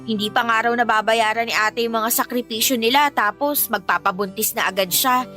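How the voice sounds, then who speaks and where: A woman's voice: a little high, steady tone, fairly quick, bright, forceful tone. A woman with a Filipino accent playing a candid, hard-up eighteen-year-old girl in a radio drama, telling things in an emphatic, conversational way. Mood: aggrieved. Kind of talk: Tagalog radio drama acting. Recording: music bed under the dialogue.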